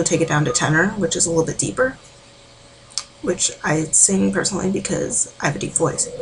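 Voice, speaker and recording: A woman giving a casual, rambling vocal warm-up tutorial from home, full of filler words. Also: on the low side, gravelly, background noise. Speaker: amateur singing tutor.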